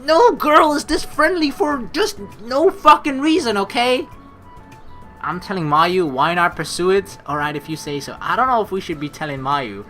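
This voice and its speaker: Male gaming host, bright and very animated, slangy, exasperated, rambling commentary over faint game music. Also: sits high, normal speed. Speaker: let's play host. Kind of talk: casual gameplay commentary, reading story text aloud.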